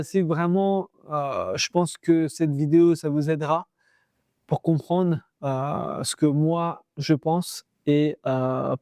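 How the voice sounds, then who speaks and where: A young man with a slightly raised pitch talks earnestly to the camera, giving expat advice in a loose, unscripted way full of fillers and restarts. The sound is dry and close, with almost no room echo. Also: mellow, smooth. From Pakistani heritage, living in Pakistan. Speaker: vlogger sharing expat advice. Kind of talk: casual talk to camera.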